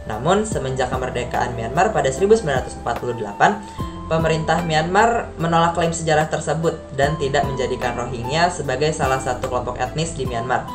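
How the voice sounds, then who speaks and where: A woman's voice: very deep voice, fast talker. A young woman reading a scripted explainer as a student presenter. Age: young adult. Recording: echoey room, music behind. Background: background music.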